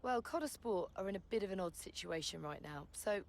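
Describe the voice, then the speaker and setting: A woman's voice: mid-range voice, animated, a little fast, creaky, a little mellow. An actress playing a poised, keen woman motorsport presenter, polished and conversational as she interviews and commentates in a game. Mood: inquisitive.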